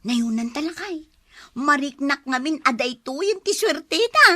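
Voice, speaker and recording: A woman's voice: high-pitched, expressive, measured pace, pushed and bright, dry recording, full character voice. A woman with an Ilocano accent playing a nagging, complaining wife in a village comedy drama.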